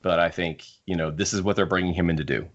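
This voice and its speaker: Low-voiced man hosting, dull recording. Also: steady tone, fast. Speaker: podcast host. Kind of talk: casual book discussion.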